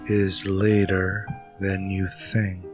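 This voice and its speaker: An actor playing a solemn, ominous horror anthology announcer, delivering grave, deliberate retro radio narration. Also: male voice, low voice, little pitch movement, loud music behind the voice. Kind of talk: old-time radio scripted narration.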